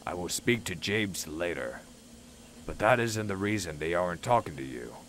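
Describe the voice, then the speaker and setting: Railway controller played by a man, male, low-pitched, stern yet compassionate, grave storybook delivery. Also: animated, moderate pace, a bit bright, a little hiss or hum. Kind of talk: children's storybook character voice. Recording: music behind the voice.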